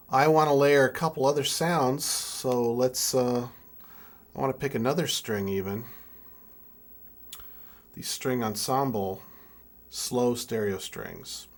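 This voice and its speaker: A man in his twenties giving a casual hands-on tutorial, thinking aloud in a halting way as he works. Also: mid-range voice. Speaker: music keyboard tutorial presenter.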